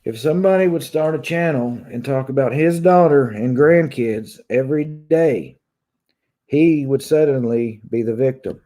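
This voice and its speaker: A man in his thirties with a long Southern drawl from Kentucky talks casually to his online viewers. His voice is very dark and mellow, and he sounds conversational, like someone venting from a room at home. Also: medium pitch, moderate pace. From Kentucky, United States. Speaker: YouTube vlogger. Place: small room at home, indoors.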